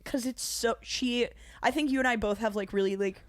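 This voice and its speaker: A woman co-hosting a podcast, chatting casually in a quick, gushing, enthusiastic way. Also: a little high, no echo, studio quality. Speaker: podcast co-host.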